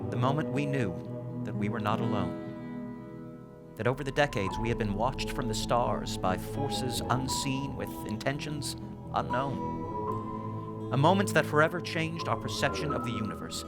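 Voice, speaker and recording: A man's voice: medium pitch, lively intonation, close to an everyday voice. Solemn actor as a male radio documentary host. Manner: solemn, measured, dramatic pauses. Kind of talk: dramatic scripted radio narration. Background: music under the voice.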